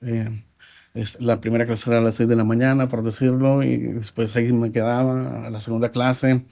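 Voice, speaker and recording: A man from Mexico with a Mexican accent, a podcast guest telling his life story in a reflective, halting way with frequent pauses. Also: mid-range voice, flat delivery, creaky, mellow. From Mexico (Nuevo Laredo). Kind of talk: personal testimony in a podcast interview.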